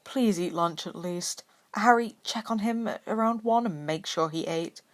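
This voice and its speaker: A woman voicing a calm, polite, caring father in a story reading, in close to her own voice. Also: mid-range voice, normal speed, voice pushed up, lightly acted. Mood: resigned concern. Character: calm, smooth, doting father, a working man.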